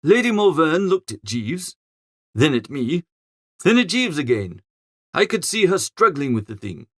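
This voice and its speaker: Animated high-voiced male audiobook narrator. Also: measured pace, no echo. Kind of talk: animated first-person comic story narration.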